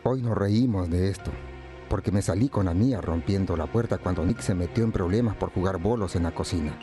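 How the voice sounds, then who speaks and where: An actor playing a grown man who looks back on a hot-tempered youth, narrating a scripted radio drama in a measured, reflective way. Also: sits low, lightly acted.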